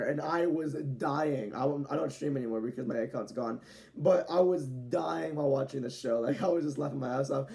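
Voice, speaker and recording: A man's voice: on the high side, vocal fry, warm tone. A young man casually reviewing a wrestling show at home, chatty and rambling, with restarts and the odd swear word. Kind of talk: casual spoken review to camera. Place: home room with dogs nearby.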